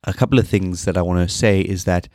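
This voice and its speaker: A man in his thirties hosting a solo podcast, sounding nasal from a cold and talking in a low-energy, rambling way. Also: fairly even intonation, fast talker, a little mellow, no room sound, clean studio sound. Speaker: podcast host.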